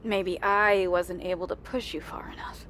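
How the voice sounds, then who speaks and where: A woman's voice: fairly low pitch, steady tone, normal speed, a bit bright, slight background noise, lightly acted. An actress playing a teasing but caring female wrestling promoter and loyal friend in a video game cutscene. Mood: regretful.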